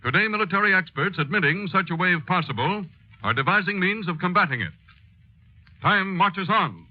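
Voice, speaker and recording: A man's voice: sits high, lively intonation. A man playing a grave, declamatory newsreel narrator in a 1930s radio news dramatization, stressing words with solemn weight. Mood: grave, dramatic. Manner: portentous, declamatory, drawn-out emphasis. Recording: muffled old 1930s radio recording, music.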